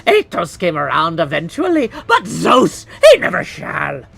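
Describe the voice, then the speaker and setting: A man's voice: on the high side, huge pitch swings, a little slow, gravelly, a bit bright, slight background noise, heavily acted. A man voicing a defiant, embittered titan from a video game, in a grand declamatory manner. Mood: bitter, thundering. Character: defiant, embittered male titan bound to a mountain. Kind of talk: video game villain acting.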